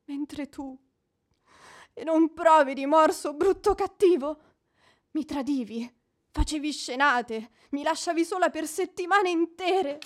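A woman's voice: high-pitched, measured pace. A woman acting in a radio drama as an inconsolable, proud young widow, theatrical and declamatory. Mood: passionate grief. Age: young adult. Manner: declamatory, mournful.

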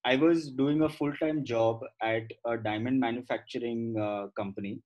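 A middle-aged man with an Indian accent and a mid-pitched voice speaks as an interview guest. He explains things conversationally and haltingly, restating phrases to make his point. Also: steady tone, lo-fi sound. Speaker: guest, professional photographer.

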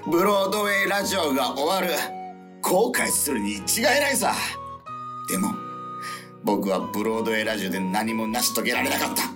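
A man's voice: medium pitch, lively intonation, brisk, lightly acted. A widely swinging male voice over music, an actor as a regret-ridden radio host in a comic radio play. He is theatrical and evasive, steeped in self-pity. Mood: despairing. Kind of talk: comic radio drama acting. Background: background music.

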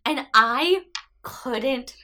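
A young woman co-hosting a casual podcast, telling animated stories and acting out quotes. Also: sits high, extremely slow. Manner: animated storyteller, acts out quotes loudly. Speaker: podcast co-host. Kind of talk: casual chat.

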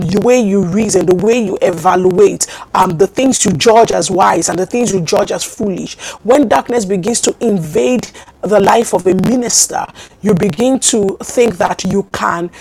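A woman preaching a teaching sermon in a measured way, with frequent ums and repeated phrases. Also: mid-range voice, creaky, darkish tone, slightly rough, no room sound, rough recording. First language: not Hebrew.

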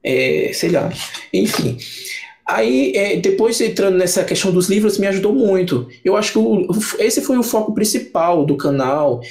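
A man's voice: high-pitched, expressive, normal speed, creaky, a little mellow. A young man, chatting casually as a podcast guest, rambling with many restarts and fillers. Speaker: book channel creator, podcast guest.

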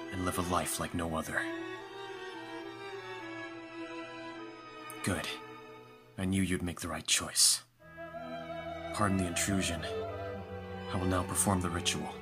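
A man playing a composed vampire lord in an audio roleplay, speaking formally and with calm authority to a servant. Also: sits low, lightly acted. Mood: solemn, persuasive. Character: lordly young-sounding male vampire master, calm and commanding. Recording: music behind the voice. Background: music.

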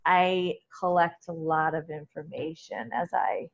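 Chatty woman with a loud, low voice. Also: flat delivery, unhurried, muffled recording. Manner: chatty and laughing, with many restarts. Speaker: interview guest. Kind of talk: casual conversational interview.

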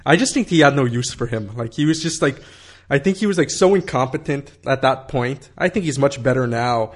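A man's voice: medium pitch, expressive, brisk. A man in his thirties telling a long story in casual conversation, rambling with many fillers, swearing and laughing.

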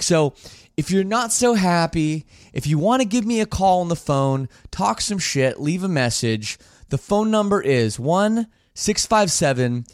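A man's voice: fairly high pitch, no echo, studio quality. Upbeat man hosting a podcast. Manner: upbeat, rambling, restarts a lot. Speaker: podcast host. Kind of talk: casual podcast chat.

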